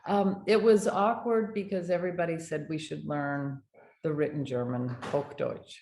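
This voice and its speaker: An older American woman, a dental hygienist, telling animated stories in a casual interview. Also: low-pitched, moderate pace. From United States. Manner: animated anecdotes with laughter. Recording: echoey room, faint noise.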